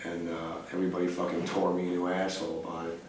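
A young man with a very low voice giving a blunt, profane backstage interview in a laid-back drawl. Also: monotone, quick pace. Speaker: band member being interviewed. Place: cramped backstage room indoors. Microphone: far camera mic. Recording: echoey room, distant camera mic, noisy.

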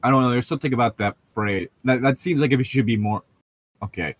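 A man's voice: medium pitch, brisk, a little hiss or hum. A man giving casual, critical commentary as a podcast co-host, explaining his points as he goes. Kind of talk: casual commentary on a book being read aloud.

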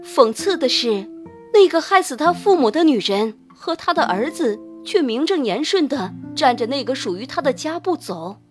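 A woman's voice: sits high, sing-song, moderate pace, soft background music. A woman narrating a novel in a scripted, dramatic audiobook style.

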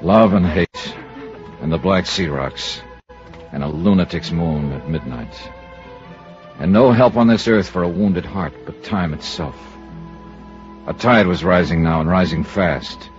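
An actor playing a tough, world-weary American investigator in old-time radio drama. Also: male voice, sits low, unhurried, faint music behind. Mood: brooding. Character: tough, world-weary male private investigator narrating his case. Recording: dull old radio transcription.

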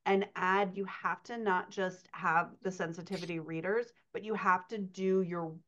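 A woman in her thirties talks shop as a writing coach on a video call, chatty and quick to laugh. Her voice sits fairly low. The call audio is dull and lo-fi. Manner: chatty, laughs often, animated storytelling. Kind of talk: casual podcast chat over video call. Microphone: computer mic on video call.

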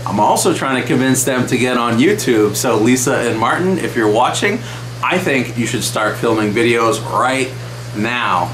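A man in his thirties talks straight to the camera in an easy, upbeat vlog manner, dropping plenty of "uh"s. His mid-range voice bounces around a small, roomy space. A steady hum sits clearly underneath. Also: medium pitch. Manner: chatty, enthusiastic, many fillers. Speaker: aquarium channel host. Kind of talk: casual vlog update. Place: small wood-paneled room indoors.

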